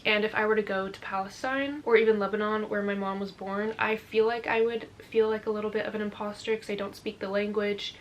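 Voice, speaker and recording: A woman's voice: mid-range voice, level tone, a little fast, few pauses, a little hiss or hum. A young adult American woman chatting informally to viewers as a book vlogger, often correcting herself as she goes. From United States. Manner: informal, conversational, self-correcting. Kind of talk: casual chat to viewers.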